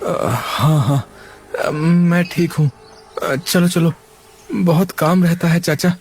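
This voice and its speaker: A man voicing an exhausted, feverish young laborer in a cartoon, speaking in a weak, halting way. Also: a little high, fairly even intonation, a little mellow, slight background noise. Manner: slow, halting, stammering. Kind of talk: cartoon voice acting.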